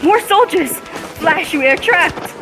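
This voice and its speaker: A woman's voice: extremely high pitch, expressive, slow pace, full character voice. A teenage female student actor playing a devoted, defiant young damsel in distress in melodramatic radio serial style. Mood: alarmed. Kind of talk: old-time radio serial acting. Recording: music behind the voice.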